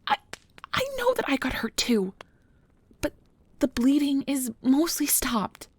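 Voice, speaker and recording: A woman playing a nervous, earnest, principled field researcher of magical creatures in an ASMR audio drama, soft and hesitant with stammers, close to the mic. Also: fairly high pitch, heavily acted. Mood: hurt, conciliatory. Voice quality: breathy, soft. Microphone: close mic, dry recording.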